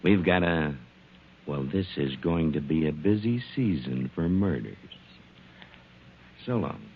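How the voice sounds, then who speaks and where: Low-voiced menacing boss, old radio. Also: male voice, expressive, measured pace, highs cut off, slight background noise, heavily acted. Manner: curt, threatening. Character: gruff, menacing male boss who talks like a gangster. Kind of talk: 1940s radio acting. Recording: thin 1940s radio recording.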